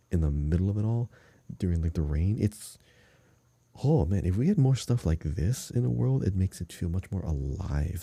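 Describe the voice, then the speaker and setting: A man's voice: deep voice, mellow, dry recording. A man co-hosting a podcast, chatting casually about a video game in an enthusiastic, emphatic way. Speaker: podcast co-host.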